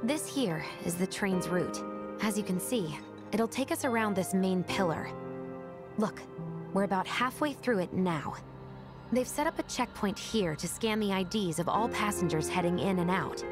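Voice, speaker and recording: A woman's voice: medium pitch, animated, normal speed, lightly acted. An actress playing a playful young woman rebel in a video game, explaining things in a breezy, teasing way. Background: game music.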